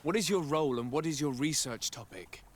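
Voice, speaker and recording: An actor playing a composed, formal noble envoy who asks polite, pointed questions in a fantasy game. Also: male voice, on the high side, expressive, brisk. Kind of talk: scripted fantasy game acting.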